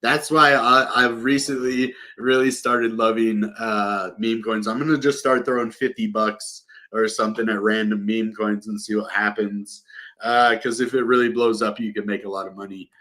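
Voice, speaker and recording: A man's voice: on the low side, sharp tone. A young man with a cold, casually talking through crypto market news as a channel host, with lots of ums and uhs. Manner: rambling, many ums and uhs. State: sick with a cold.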